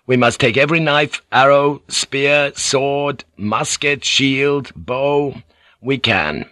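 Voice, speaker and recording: A man's voice: mid-range voice, slow pace, sharp tone, dry recording, voice pushed up. A man voicing a defiant village headman and old fighter in an audiobook, emphatic, persuasive and earthy. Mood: rousing, defiant.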